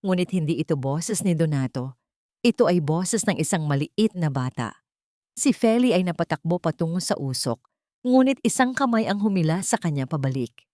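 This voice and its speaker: Female storyteller, slightly low voice, highly animated intonation, suspenseful drama narration, very dry close sound. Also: normal speed, no echo.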